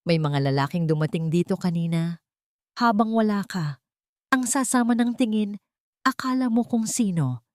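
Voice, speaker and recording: A woman's voice: mid-range voice, expressive, normal speed, pauses often, a little mellow, dry recording, clean studio sound, lightly acted. A woman voicing an alarmed, frightened landlady in an audio drama, agitated and fretful.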